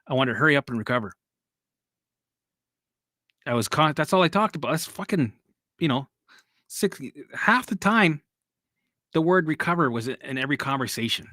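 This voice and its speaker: Bright-voiced man coaching on a live stream. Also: a little high, expressive, normal speed, pauses often, no echo, clean studio sound. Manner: rambling and repetitive, swears casually, laughs at himself.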